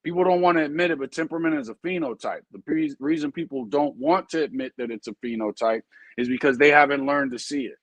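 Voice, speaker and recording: A man's voice: a little high, fast talker, no room sound. Earnest man with a dry close sound. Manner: earnest, explains with everyday comparisons; conversational, checks in with listeners. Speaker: show host and dog breeder. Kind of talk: casual podcast talk.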